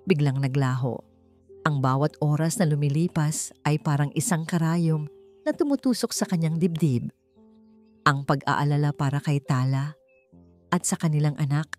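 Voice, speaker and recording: A woman's voice: low voice, darkish tone. A woman reading a scripted romance story as a dramatic narrator. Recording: music under the voice. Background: background music.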